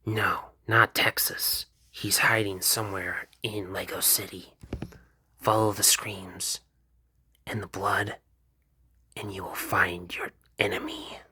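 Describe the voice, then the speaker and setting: A man voicing a cold, commanding woman boss, speaking in a slow, hushed, breathy whisper for a fan-made cartoon. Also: on the low side, dry recording. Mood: calm, ominous. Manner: slow, calm, ominous.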